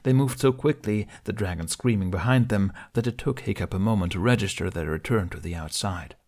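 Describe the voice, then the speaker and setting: A man's voice: fairly low pitch, fairly quick, darkish tone. A man narrating a fantasy adventure audiobook in a dramatic, scripted storytelling style.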